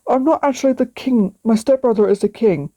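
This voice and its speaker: A woman's voice: on the high side, fairly quick, mellow, close to an everyday voice. A woman voicing a young dragon prince in a read-aloud storybook, brave but easily hurt and unsure of himself. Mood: doubtful.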